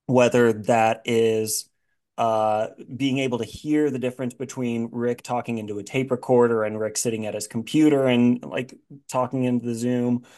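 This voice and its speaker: A man hosting a casual interview, asking questions in a halting, think-aloud way. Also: medium pitch, steady tone, dark tone. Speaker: podcast host, interviewer.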